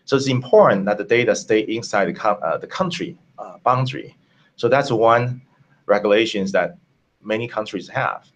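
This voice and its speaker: Male tech-founder guest from Taiwan, mid pitch, halting delivery, slightly dull call audio. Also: expressive. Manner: halting, many fillers and restarts. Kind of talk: casual interview answers.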